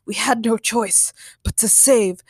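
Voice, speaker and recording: A woman voicing a proud, excitable male cop in a story, earnest and dramatic. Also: a little high, unhurried, breathy edge, dry recording.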